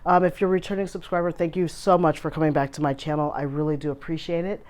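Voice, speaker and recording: A woman hosting a casual fountain pen vlog, her voice hoarse from a recent illness, chatty and full of filler ums as she shows her pens. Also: deep voice, steady tone, fast talker, darkish tone. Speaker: fountain pen channel host. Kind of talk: casual show-and-tell vlog.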